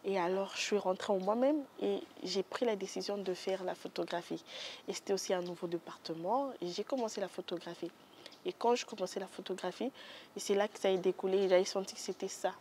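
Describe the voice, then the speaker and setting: A young woman with a Congolese French accent, a photographer being interviewed in a studio, soft-spoken and hesitant with many pauses. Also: mid-range voice, a little hiss or hum. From Democratic Republic of the Congo. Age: young adult. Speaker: guest photographer. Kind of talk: interview answers.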